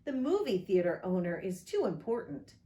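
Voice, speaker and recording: Woman voicing an eager little girl, lively and swooping. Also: medium pitch, normal speed, echoey room, lightly acted. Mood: discouraged. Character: earnest little girl, eager yet easily discouraged. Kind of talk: children's picture book read aloud.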